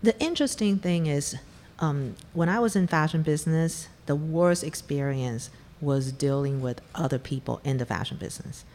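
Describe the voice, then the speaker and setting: A woman's voice: deep voice, moderate pace, darkish tone, long reverb tail. A middle-aged woman from Taiwan, a venture investor telling her startup story in an interview, candid and blunt. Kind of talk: conversational interview.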